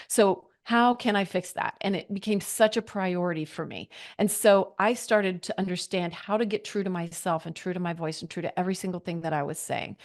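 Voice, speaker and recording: A woman in her forties, a podcast guest, telling her personal story in an earnest, reflective way and acting out her own past thoughts. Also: mid-range voice. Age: 40s. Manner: earnest, reflective, with lively quoted inner thoughts.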